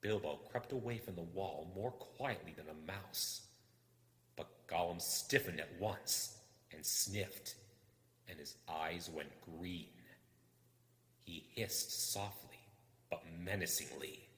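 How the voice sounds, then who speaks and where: Male narrator for suspenseful fiction: theatrical, low-pitched, deliberately slow, in a reverberant space. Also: fairly bright tone. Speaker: audiobook reader. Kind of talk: dramatic storytelling narration. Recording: echoey, long reverb.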